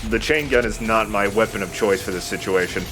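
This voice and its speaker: A man's voice: fairly low pitch, brisk, background noise. A man giving casual commentary as he plays a shooter game, as host of a let's play.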